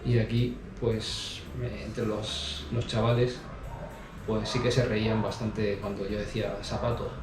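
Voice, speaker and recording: A man answering interview questions in a relaxed way, with lots of pauses and restarts. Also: on the low side, distant mic, music in the background. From Spain. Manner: hesitant, many fillers and restarts. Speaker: interview guest. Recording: roomy sound from off mic.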